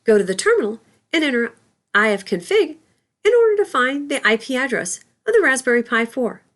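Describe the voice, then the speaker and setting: A woman narrates a scripted lesson as an instructor. Her voice sits fairly high and moves up and down in a lively way. She speaks carefully, explaining step by step. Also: a little fast.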